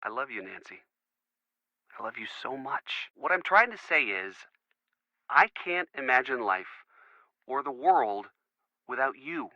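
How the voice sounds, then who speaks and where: A man's voice: mid-range voice, very animated, a little slow, long pauses, sharp tone, dry recording, lo-fi sound, full character voice. A man playing an earnest, devoted college-age boyfriend in a video game, boyish and a little rambling, as if talking on the phone. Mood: earnest, tender.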